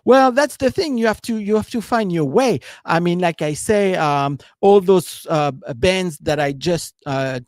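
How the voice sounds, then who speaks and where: A man's voice: high voice, expressive, unhurried, hardly any pauses, a little mellow, no echo, studio quality. Rambling man with a French accent. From France. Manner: rambling, leans on "you know" and "right?". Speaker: business coach giving a live stream. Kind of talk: casual live-stream talk.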